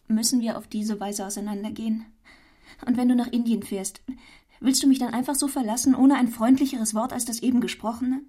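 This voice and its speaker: An actress in a German radio play, playing an earnest, quietly defiant young woman who speaks with measured, firm intensity. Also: a little high, steady tone, natural voice. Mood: hurt pleading.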